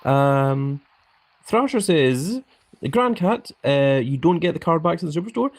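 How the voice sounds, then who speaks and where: A man's voice: fairly high pitch, expressive, a little slow. A young man live-streaming a mobile game, chatting casually and reading viewers' comments aloud with frequent ums.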